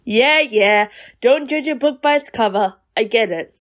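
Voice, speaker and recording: A guarded, feisty young woman, played by an actress in an audio drama. Her voice is fairly high. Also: expressive, unhurried.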